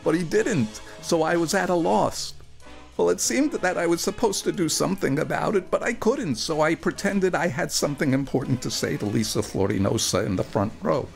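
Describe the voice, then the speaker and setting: A man performing a first-person story for radio, with a wry, animated and earnest delivery. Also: a little high, moderate pace, vocal fry, faint music behind.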